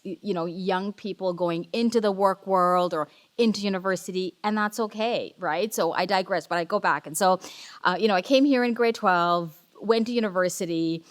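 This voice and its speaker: Chatty woman in her forties. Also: medium pitch. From Sri Lanka. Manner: lively, chatty, informal. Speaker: panelist, tech company executive. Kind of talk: casual panel talk.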